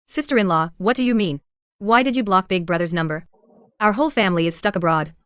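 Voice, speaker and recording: An actress playing an entitled, spiteful sister-in-law in a dubbed drama, accusing and demanding, quick to threaten. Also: female voice, on the low side, clean tone, no echo, lightly acted.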